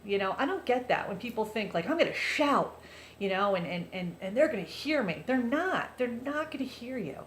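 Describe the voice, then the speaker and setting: A loud-voiced woman speaks to her viewers in an earnest, chatty, confessional way. Her voice carries through a roomy space with a faint hiss underneath. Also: a little high, lively intonation, moderate pace. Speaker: vlogger. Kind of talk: casual confessional talk to viewers. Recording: roomy echo, faint background noise.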